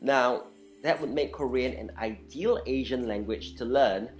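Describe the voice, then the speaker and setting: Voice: mid-range voice, measured pace. An opinionated vlogger of unclear sex giving an explainer talk in a candid, blunt, informal way, stressing points with dramatic repetition. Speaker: vlogger and language enthusiast.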